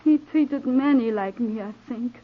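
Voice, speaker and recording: A tinny, phone-like old radio recording of a young immigrant. Her voice is high and pleading, earnest and fearful, with careful phrasing in 1940s radio melodrama style. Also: measured pace. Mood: anxious, subdued. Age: 20s. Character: frightened, devoted twenty-year-old immigrant woman, pleading.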